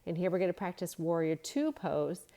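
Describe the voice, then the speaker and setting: A woman's voice: lowish voice, sing-song, normal speed, warm tone. A woman narrating a read-along storybook and giving yoga instruction in simple phrasing for young children.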